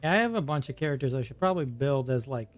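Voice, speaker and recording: A man streaming a game, giving casual commentary and thinking aloud in a low-key way. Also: expressive, quick pace. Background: faint game music.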